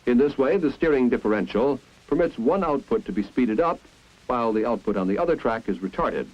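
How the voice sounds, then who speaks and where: A man's voice: mid-range voice, lively intonation, a little fast, a little mellow. An American man narrating a 1950s instructional film in a didactic, explanatory way. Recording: dull, muffled 1950s film soundtrack.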